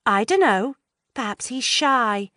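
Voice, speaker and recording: A woman's voice: fairly high pitch, animated, slow, pushed and bright, no room sound, studio quality, pitched above the performer's own voice, exaggerated voice. A woman doing a brash, coaxing teenage girl. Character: bold teenage girl egging her friend on.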